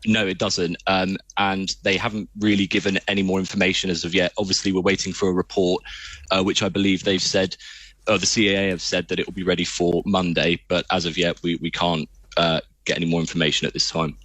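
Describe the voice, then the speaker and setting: A man speaking as a journalist guest in a live news interview, giving measured, careful answers. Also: sits low, flat delivery, fairly bright tone, no room sound, rough recording.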